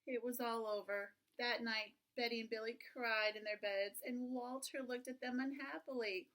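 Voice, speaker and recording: A woman reading a children's book aloud expressively, with drawn-out emphasis and chatty asides. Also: fairly high pitch, steady tone, a bit bright, poor audio. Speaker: reader of a children's picture book.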